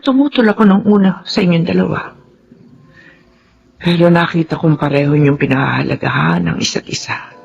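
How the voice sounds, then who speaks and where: An actress playing a gentle, forbearing older Filipino mother-in-law in a radio drama, speaking in a measured, consoling way. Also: on the low side, creaky, breathy edge, heavily acted. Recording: dull recording under music.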